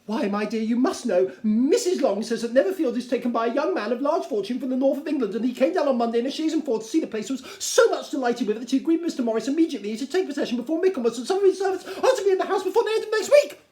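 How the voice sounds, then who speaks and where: A man voicing a fussy, excitable middle-aged wife, gushing and plaintive, in a theatrical reading of a classic novel. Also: very high voice, rapid-fire, few pauses, voice pushed up. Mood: excited gossip. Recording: roomy, echoing indoor sound.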